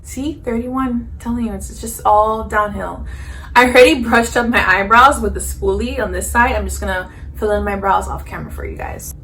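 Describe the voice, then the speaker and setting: A woman in her thirties talks through a makeup routine in a loose, chatty way, tossing in jokes and plenty of fillers. Her voice sits a little high and stays animated. A faint hum runs under the home recording. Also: moderate pace. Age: 30s. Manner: chatty and animated, filler-heavy, jokey asides. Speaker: beauty vlogger. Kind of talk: casual makeup chat to camera.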